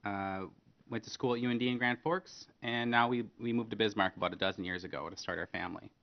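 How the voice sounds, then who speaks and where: A man's voice: lowish voice, fairly even intonation, fast talker. A man in his thirties from North Dakota, a candidate giving an earnest, plain-spoken opening statement. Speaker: political candidate. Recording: dull lo-fi broadcast audio.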